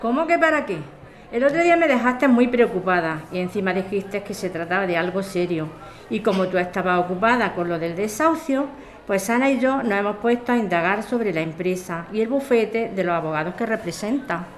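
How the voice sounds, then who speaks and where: A woman's voice: mid-range voice, brisk, close to an everyday voice. A woman with an accent from Spain acting an eager, concerned amateur sleuth in radio soap dialogue. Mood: insistent concern. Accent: Spanish.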